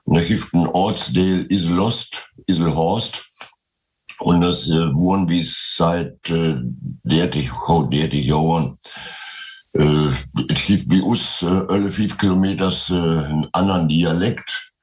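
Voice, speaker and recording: Elderly Westphalian man, very low voice. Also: steady tone, a little mellow, poor audio. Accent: Westphalian Low German. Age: 80s. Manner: hesitant, many pauses. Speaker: interviewee. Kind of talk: casual interview.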